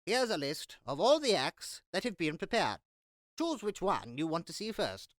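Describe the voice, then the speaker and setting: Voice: mid-range voice, big pitch swings, moderate pace, dry recording, clean studio sound, close to an everyday voice. A performer playing a fussy, scornful master of revels to a duke in a Shakespeare audio drama, with a theatrical, disdainful manner. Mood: deferential.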